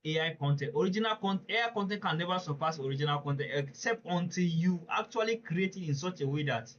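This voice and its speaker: Brief: male webinar presenter, slightly high voice, earnest and emphatic, dull online-call audio. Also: quick pace, fairly bright tone, a little hiss or hum. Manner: earnest, emphatic, addresses listeners directly with questions. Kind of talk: live webinar teaching.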